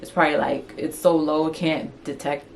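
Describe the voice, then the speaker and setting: A woman's voice: low voice, flat delivery, normal speed, creaky, slight background noise. A woman from Antigua and Montserrat co-hosting a casual conversation, earnest and repeating her point. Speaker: co-host. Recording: faint music underneath.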